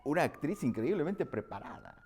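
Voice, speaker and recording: A man's voice: fairly high pitch, big pitch swings, fairly quick, darkish tone, slight background noise. A man hosting an interview in a restaurant, asking questions expressively with lots of repeated words. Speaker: talk show host. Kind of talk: hosted interview.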